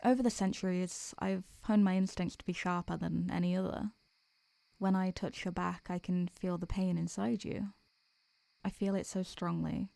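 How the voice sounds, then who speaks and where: Woman voicing a mellow, creaky, tender ancient succubus. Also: mid-range voice, no echo. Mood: concerned, caring. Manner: gentle, affectionate, unhurried, murmuring endearments. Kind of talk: intimate soothing roleplay audio, ASMR-like.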